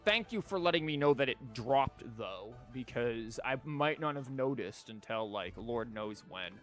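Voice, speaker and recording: A man is streaming a game with music playing faintly behind him. He talks casually in a medium-pitched voice, rambling along with wry, sarcastic commentary. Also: expressive, unhurried. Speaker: livestreamer. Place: live stream from an indoor setup.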